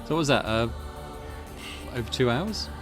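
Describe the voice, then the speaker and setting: A man's voice: mid-range voice, lively intonation, normal speed, over music. A man giving let's play commentary on a video game, switching between casual remarks and reading aloud. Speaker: gaming video host.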